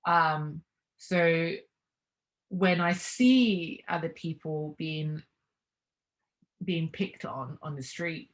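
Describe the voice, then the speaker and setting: Reflective lower-voiced woman. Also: drawn-out words, long pauses. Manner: reflective, hesitant restarts, light laughs. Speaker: interview guest. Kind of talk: casual conversational interview.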